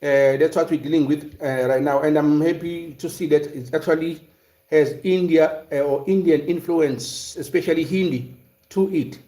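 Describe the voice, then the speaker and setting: A man from South Africa talks casually on a livestream, often pausing and restarting mid-sentence. His voice sits a little high for a man. The room gives it a noticeable echo. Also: fairly even intonation, warm tone. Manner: hesitant, many fillers and restarts. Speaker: livestream host. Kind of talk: casual livestream commentary reading chat. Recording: roomy echo.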